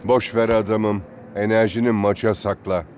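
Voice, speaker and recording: A man playing a calm, wistful older teammate telling stories in an animated series dub. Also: lowish voice, normal speed, heavy background noise, natural voice.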